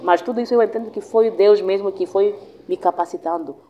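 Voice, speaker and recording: A woman pastor whose first language is Swahili, giving an earnest, reflective testimony in an interview, pausing and restarting as she goes. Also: medium pitch, mellow, slight background noise.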